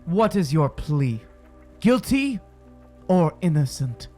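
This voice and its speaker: A cruel, commanding sheriff from a witch-trial audio drama. His voice is high and swings widely as he declaims slow, deliberate demands. Music plays underneath. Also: big pitch swings, a little mellow. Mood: stern, demanding.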